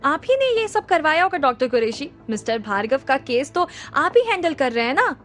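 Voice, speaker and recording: A woman voicing an enraged, accusing man who shouts threats and mixes English into Hindi. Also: extremely high pitch, animated, moderate pace, soft background music, full character voice. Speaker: figure in a hospital thriller story.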